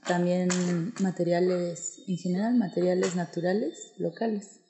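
A woman's voice: fairly low pitch, fairly even intonation, measured pace, mellow, a little hiss or hum. A woman with a central Mexican accent answering interview questions conversationally, hesitant and searching for words, in a reverberant room. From State of Mexico, Mexico. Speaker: interview guest, architect. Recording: very echoey, reverberant room sound.

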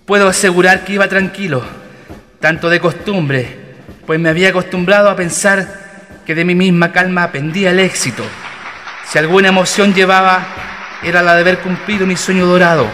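An actor playing a proud young Chilean military pilot in a radio drama. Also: male voice, high voice, fairly even intonation, normal speed, pushed and bright, faint music behind. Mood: calm, proud.

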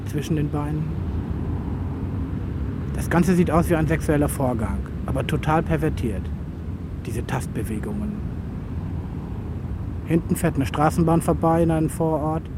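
A man with a slightly high voice strings together poetic observations in fragments and lists. It is a field recording, with faint noise of passing vehicles behind him. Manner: fragmented, listing phrases.

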